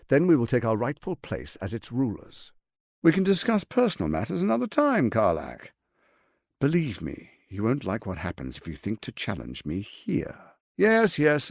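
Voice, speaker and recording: A man playing a smooth-tongued, condescending tyrant lord in a video game, with a pompous, theatrical delivery and deliberate emphasis. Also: lowish voice, wildly swooping pitch, dry recording, clean studio sound, full character voice. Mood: condescending, controlled.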